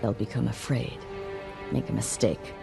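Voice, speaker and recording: Vengeful warrior for a game drama: creaky, mid-pitched, stern and deliberate delivery. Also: male voice, fairly even intonation. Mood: grimly vengeful. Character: grim, vengeful, stern warrior hunting traitors. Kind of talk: video game cutscene acting. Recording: loud music over voice.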